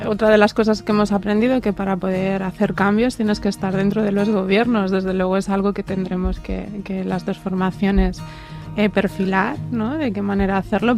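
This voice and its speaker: A woman's voice: medium pitch, little pitch movement, moderate pace, music in the background. A woman in her fifties from Madrid, answering interview questions as a political candidate, often repeating words and restarting her phrases. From Madrid, Spain. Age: 50s.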